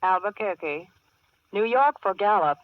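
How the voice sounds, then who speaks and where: Singsong telephone operator, muffled line. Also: female voice, mid-range voice, lively intonation, a little slow, no echo. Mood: brisk, formal. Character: brisk, formal female long-distance telephone operator. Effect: telephone line filter. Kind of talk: old-time radio drama acting. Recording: dull, noisy telephone-line sound.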